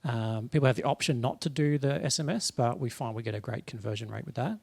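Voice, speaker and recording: A man's voice: medium pitch, fast talker, gravelly, a little mellow, breathy edge. A man speaking as a panelist in a casual discussion, explaining things in a matter-of-fact way. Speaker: panelist, eCommerce marketer. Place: live event in front of an audience.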